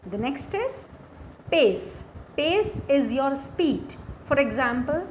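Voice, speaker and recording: Female lecturer, Indian accent, fairly high voice, very unhurried lesson narration in short phrases. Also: big pitch swings. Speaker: English lecturer. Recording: faint background noise.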